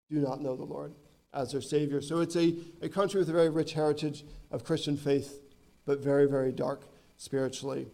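Hoarse missionary man, mellow voice. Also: fairly high pitch. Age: 30s. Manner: earnest, frequent ums. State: hoarse from a cold. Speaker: visiting missionary. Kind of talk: church missionary talk. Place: church sanctuary.

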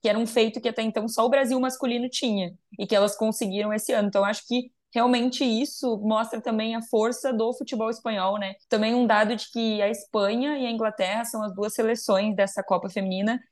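Steady fact-citing Brazilian woman. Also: fairly high pitch, fast talker, few pauses. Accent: Brazilian Portuguese. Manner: steady, informative, cites facts. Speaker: podcast co-host. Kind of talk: casual sports analysis.

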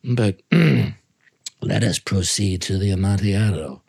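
A man's voice: low-pitched, a little slow, vocal fry, darkish tone, husky, full character voice. A man voicing a drunken, boastful, proud nobleman in a scornful, theatrical way, from a Gothic story. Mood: taken aback.

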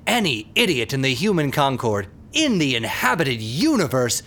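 A man's voice: mid-range voice, very animated, normal speed, bright, forceful tone. An actor playing a hostile male corporate board member, accusatory, scornful and emphatic, in an audio drama. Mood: accusing. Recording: music underneath.

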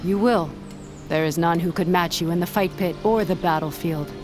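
A woman's voice: on the low side, moderate pace, natural voice. A woman playing a steadfast sworn bodyguard to a queen in an audio drama, formal and deferential. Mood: solemn, devoted. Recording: dramatic music behind the voice.

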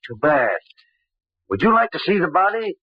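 An actor playing a folksy, shrewd island lawman in an old-time radio mystery, husky and wry, slyly probing with his questions. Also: high-pitched, sing-song, moderate pace, dry recording, full character voice. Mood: grimly matter-of-fact. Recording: dull old radio recording.

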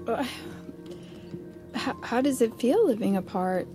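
A woman's voice: fairly high pitch, animated, unhurried, music in the background. A woman playing a nosy, flippant friend in an audio drama, gossiping pointedly in a naturalistic style. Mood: nosy, teasing.

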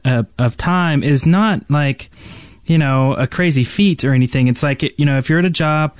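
A man's voice: a little high, moderate pace, no room sound. A man hosting a podcast, chatting casually. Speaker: podcast host.

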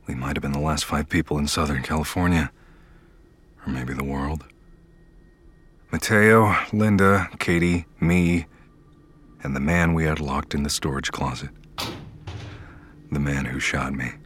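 An actor playing a wounded young survivor in an audio drama, narrating in a grave, brooding, measured way. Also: male voice, fairly bright tone, slightly rough, heavily acted. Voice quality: very deep.

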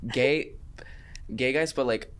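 A man from Chile, guesting on a podcast, chatting in an animated, campy, joking way. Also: mid-range voice, slow pace, clear, resonant tone. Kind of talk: casual chat.

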